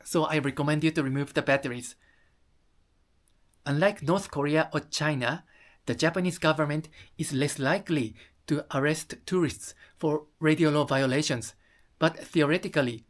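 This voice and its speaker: A presenter explaining things to viewers in halting, careful English with non-native phrasing. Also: medium pitch. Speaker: video presenter.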